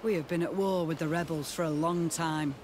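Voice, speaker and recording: A man's voice: on the high side, steady tone, fairly quick, a little hiss or hum, natural voice. A man playing a dutiful, respectful army captain in a video game, with formal military address. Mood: attentive. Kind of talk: scripted video game cutscene dialogue.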